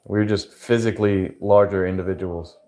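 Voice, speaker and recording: A man's voice: deep voice, fairly even intonation, moderate pace, a little mellow, soft background music. A man livestreaming and answering chat in a loose, rambling way, full of fillers. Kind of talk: casual chat.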